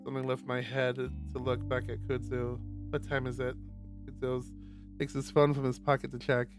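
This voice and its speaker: A man's voice: mid-range voice, monotone, moderate pace. A man streaming a visual novel, reading first-person fiction aloud. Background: guitar music.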